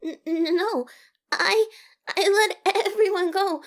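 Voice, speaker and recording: A woman playing a timid, anxious female monster scientist who stammers nervously in an audio drama. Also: slow pace. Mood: panicked. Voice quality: high, girlish voice.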